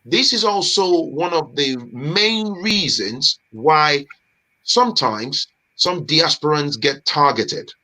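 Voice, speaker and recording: A man from Nigeria, a guest in a casual chat, talking in an animated, emphatic way with street slang. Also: fairly high pitch, slow, rough recording.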